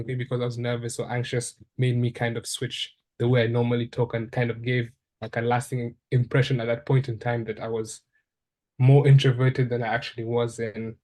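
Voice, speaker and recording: A young man from Uganda, a fourth-year undergraduate, thinking aloud in a relaxed interview with many ums and restarts. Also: mid-range voice. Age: young adult. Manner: thoughtful, with frequent ums and restarts. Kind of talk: reflective casual conversation.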